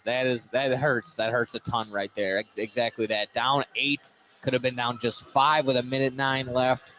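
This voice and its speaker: A man's voice: medium pitch, fairly bright tone. Man calling basketball amid gym noise. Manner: informal, stumbles and self-corrects. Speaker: play-by-play sports announcer. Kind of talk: live basketball commentary. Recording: noisy live gym broadcast.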